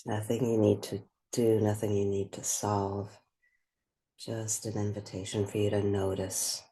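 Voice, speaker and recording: A woman leading a guided meditation, speaking gently and without hurry, leaving long pauses between phrases. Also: rumbling low, level tone. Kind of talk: guided meditation, slow and spoken softly.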